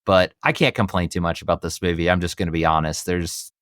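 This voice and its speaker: A man's voice: low-pitched, fairly even intonation, fast, a bit bright, dry recording, clean studio sound. A man co-hosting a casual film discussion, talking in an easygoing, hedging, meandering way. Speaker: podcast co-host leading the discussion.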